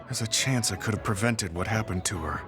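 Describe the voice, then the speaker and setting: A man playing a middle-aged, guilt-ridden veteran soldier in a video game, speaking in a measured, earnest way. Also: on the low side, close to an everyday voice. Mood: sorrowful, guilty. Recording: studio voice under loud game music.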